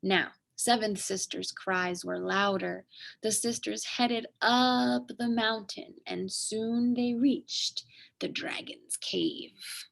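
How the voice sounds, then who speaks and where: A woman with a fairly high, creaky voice reads a children's story aloud. She takes it slowly and dramatically, leaning on key words and pausing for effect. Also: steady tone, a bit bright. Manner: dramatic, with emphatic pauses and sound effects. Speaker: storyteller reading a picture book aloud. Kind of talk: expressive children's read-aloud narration.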